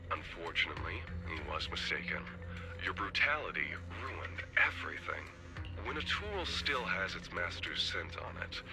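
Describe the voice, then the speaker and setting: An actor playing a cold, condescending underworld handler in a video game, measured and mock-polite. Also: male voice, sits low. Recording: loud music under the voice. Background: loud music.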